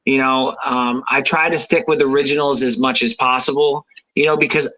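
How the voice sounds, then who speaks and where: A man who fronts a rock band, chatting casually on a radio show with a high voice, rambling with fillers and easy laughs. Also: fairly even intonation, normal speed, pushed and bright, rough recording. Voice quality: unusually high voice. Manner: rambling, many fillers and restarts, laughs easily.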